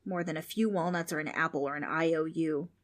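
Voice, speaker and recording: A woman's voice: deep voice, fairly even intonation, fairly quick, lightly acted. A woman voicing a grumpy, pompous old male ghost who lectures a group of children. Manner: bossy, irritable, grandly spooky.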